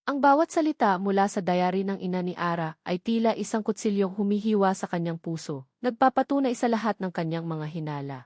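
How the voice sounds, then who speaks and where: A woman's voice: mid-range voice, animated, fairly quick, darkish tone, dry recording. Woman narrating drama, close dry sound. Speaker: storyteller narrator. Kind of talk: scripted dramatic narration of a Tagalog love story.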